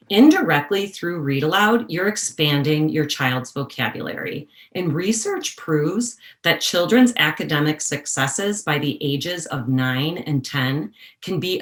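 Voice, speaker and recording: A woman giving a prepared educational presentation as a workshop presenter, explaining deliberately and repeating key phrases for emphasis. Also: low voice, echoey room.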